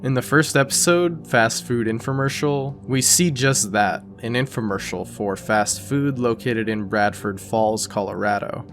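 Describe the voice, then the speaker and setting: A man's voice: medium pitch, a little slow, few pauses, a bit bright, faint music behind. A man narrating an explainer video in a casual, conversational, scripted style. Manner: conversational, informal.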